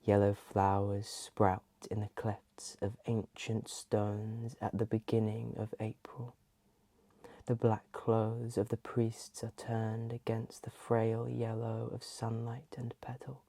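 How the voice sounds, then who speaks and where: A soft, breathy ASMR host reading in a hushed voice that often slips into a whisper. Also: mid-range voice, completely flat delivery, slow pace, dry recording.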